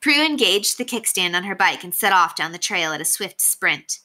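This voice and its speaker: A woman reading a children's novel aloud as expressive, scripted narration. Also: fairly low pitch, moderate pace. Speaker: story reader.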